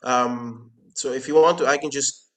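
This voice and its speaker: Curacao-guy, papiamento speaker, earnest, keeps restarting words, mid pitch, remote call. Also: fairly even intonation, moderate pace, a bit bright. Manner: earnest, repeats words as he searches for phrasing. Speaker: company founder, interview guest. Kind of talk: explanatory business conversation.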